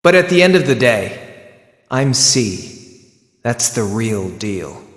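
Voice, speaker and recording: A man voicing a cocky, bitter young man in a dramatic video game scene, speaking deliberately and tauntingly. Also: medium pitch, big pitch swings, fairly bright tone. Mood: bitter, resolute. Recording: echoey, long cavernous reverb.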